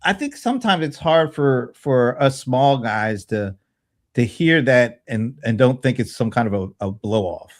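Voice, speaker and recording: A man in his fifties in casual panel chat, thinking aloud in a halting way and repeating words. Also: mid-range voice, expressive. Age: 50s. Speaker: panelist on a small business show.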